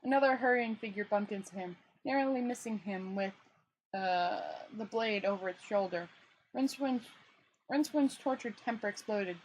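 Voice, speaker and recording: A woman's voice: mid-range voice, normal speed, husky, rough recording. A woman reading a novel aloud in an informal amateur way, stumbling over words and adding casual asides.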